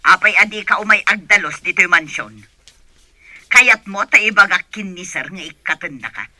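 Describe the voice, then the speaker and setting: A woman playing a bossy, scornful older mansion servant in a comedy radio drama, sharp-voiced, with an Ilocano Filipino accent. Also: medium pitch, normal speed, dry recording.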